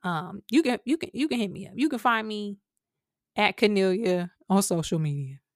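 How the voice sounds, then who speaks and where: A woman's voice: medium pitch, expressive, moderate pace. An American woman hosting a podcast, giving casual, opinionated commentary in an emphatic, colloquial way, speaking straight to her listeners. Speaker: podcast host.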